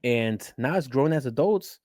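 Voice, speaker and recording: A man with a New York accent and a mid-range voice talks casually as a podcast co-host. He is animated and slangy, circling back to repeat phrases for emphasis. The sound is close and dry. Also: mid-range voice, moderate pace, no room sound. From Queens, New York City. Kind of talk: casual sports podcast chat.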